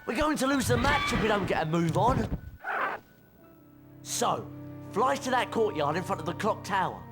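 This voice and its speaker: High-pitched, lively schoolboy wizard. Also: male voice, moderate pace, over music. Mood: urgent. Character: earnest, impatient schoolboy wizard.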